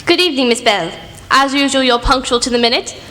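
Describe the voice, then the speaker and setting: Radio play: woman as genteel English lady storyteller, sentimental, very animated, hall reverb with music behind. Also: high-pitched, brisk. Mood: genial, reminiscing.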